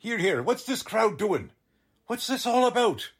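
A man acting as an excitable, good-hearted neighborhood policeman in a 1940s radio drama, slangy and exclamatory. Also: sits high, unhurried, husky, exaggerated voice. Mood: bossy, curious.

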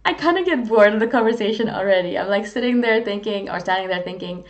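A woman's voice: mid-range voice, lively intonation, fast, muffled recording. A woman from India, talking in a candid, earnest, self-deprecating way in a casual, reflective monologue as a vlogger. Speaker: vlogger on personality types.

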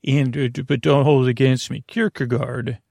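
Creaky-voiced man rambling through a bedtime podcast. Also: mid-range voice, fairly even intonation, darkish tone, no room sound, studio quality. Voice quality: gravelly, creaky. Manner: meandering, halting, absent-minded, self-interrupting. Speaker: podcast host.

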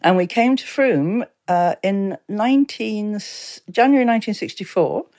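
Woman, seventies, English accent, conversational, anecdotal, quick to chuckle. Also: mid-range voice, animated, a little mellow. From Exeter, England. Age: 70s. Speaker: town mayor, podcast guest. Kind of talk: casual conversational reminiscence.

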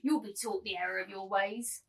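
A woman's voice: medium pitch, fairly even intonation, moderate pace, close to an everyday voice. A woman playing a cruel, domineering brothel keeper and auctioneer in a dramatised literary reading, commanding, taunting and theatrical.

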